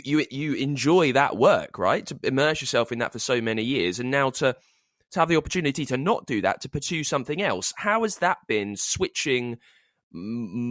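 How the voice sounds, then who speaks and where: A man's voice: medium pitch, a bit bright, no room sound, clean studio sound. A man hosting a conversational interview, working his way reflectively into long, roundabout questions. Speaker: podcast host interviewing authors.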